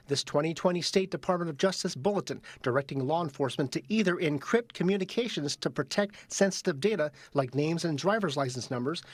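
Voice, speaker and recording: A man reporting live from outdoors for a local television newscast, in a crisp, measured news delivery. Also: a little high. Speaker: field reporter. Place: outdoors on location.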